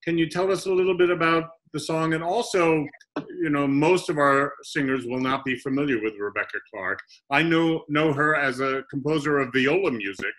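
A man's voice: on the high side, moderate pace, a bit bright, rough recording. A middle-aged man hosting a video call interview, asking conversational questions from a study at home. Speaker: host.

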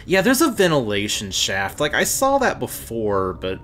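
Very deep-voiced woman, animated gaming commentary. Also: moderate pace, slight background noise. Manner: thinking aloud, playful. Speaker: let's player. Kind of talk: casual let's play commentary.

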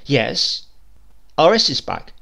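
A man reading a story voices a brisk, motherly young woman assistant in a crisp, efficient manner. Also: medium pitch, very animated, pushed and bright, full character voice. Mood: matter-of-fact.

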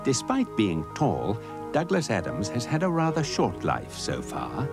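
A British man reading scripted comic documentary narration in a measured, mock-serious way. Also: medium pitch, huge pitch swings, over music.

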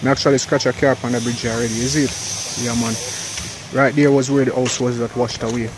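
A man's voice: medium pitch, flat delivery, a little fast. A young Jamaican man vlogging on a rural road into a handheld phone, chatty and calling his viewers peeps and guys. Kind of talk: walking vlog and street interview. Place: outdoors on rural hillside road. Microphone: handheld phone camera. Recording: outdoor phone audio with clear background noise.

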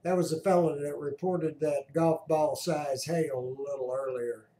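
A man giving casual explanatory commentary as a radio hobbyist, halting and often clearing his throat. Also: a little high, fairly even intonation, dark tone. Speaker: amateur radio operator, narrator. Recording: roomy echo, clear background noise.

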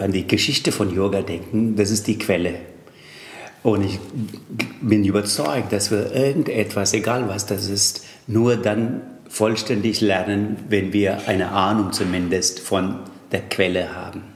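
A man's voice: lowish voice, a little slow, roomy sound, slight background noise. A man with a Tamil accent explaining yoga texts in an interview, thoughtful and hesitant with fillers. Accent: Tamil-accented German. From Tamil Nadu, India. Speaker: yoga teacher and Sanskrit scholar. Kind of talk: interview answers.

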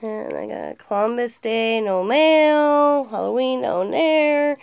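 A hoarse woman with a cold, chatting casually as she walks through her planner pages item by item. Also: fairly high pitch, takes it slowly, darkish tone, no echo. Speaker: planner vlogger. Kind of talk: casual show-and-tell narration. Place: indoors at a craft desk. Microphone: camera pointed down at the desk, speaker off-screen.

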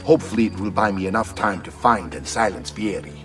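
An actor playing a commanding middle-aged Italian uncle and mercenary captain, theatrical, with an Italian accent, in a video game. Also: male voice, lowish voice, quick pace, a bit bright, distant mic, soft background music, natural voice. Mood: commanding, resolute. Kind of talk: dramatic video game voice acting.

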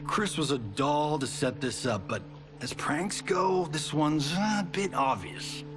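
A man's voice: fairly high pitch, slow. An actor playing a cocky, sarcastic male movie star in video game cutscenes, joking and laughing often. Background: music.

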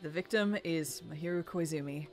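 A woman with a fairly low voice co-hosts a video game playthrough. She reads dialogue in a measured, matter-of-fact way and adds casual comments, over faint game music. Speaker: let's play co-host.

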